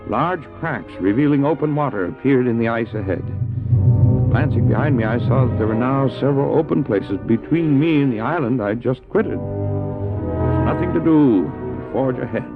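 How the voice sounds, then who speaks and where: Man acting an animated, creaky-voiced dog-sled driver, tinny tape. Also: mid-range voice, normal speed, natural voice. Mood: grave, suspenseful. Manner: earnest storytelling with urgent calls to his dogs. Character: anxious, determined male dog-sled driver stranded on ice. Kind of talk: first-person dramatic narration, radio drama. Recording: tinny narrow-band old cassette, music behind.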